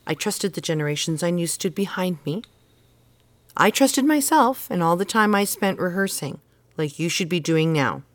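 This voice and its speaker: Woman playing a low-voiced, insistent mother. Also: animated, normal speed, no room sound, lightly acted. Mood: earnest, reassuring. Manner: insistent, instructive, earnest. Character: earnest, exacting mother devoted to tradition. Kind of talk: naturalistic audio drama dialogue.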